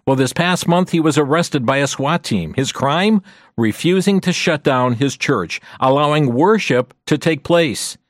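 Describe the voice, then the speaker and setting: A man hosting a radio talk show, earnest and measured as he addresses listeners directly. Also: a little high, expressive, dry recording, clean studio sound.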